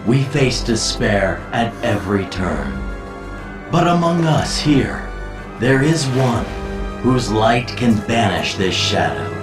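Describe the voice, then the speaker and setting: An actor playing a smooth, eloquent schemer with an insinuating, theatrical manner in a video game cutscene. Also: male voice, medium pitch, expressive, takes it slowly. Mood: grandiose. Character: smooth, theatrical, scheming male manipulator counseling heroes. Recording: roomy echo, distant, music behind.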